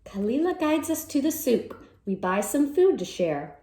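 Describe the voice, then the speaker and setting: A woman teacher reads a children's picture book aloud with widely swinging, animated intonation. Her tone is soft-edged and mellow, sing-song on verses and plain when explaining. The room around her is noticeably echoey. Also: high voice, moderate pace. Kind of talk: read-aloud storytime with simple explanations.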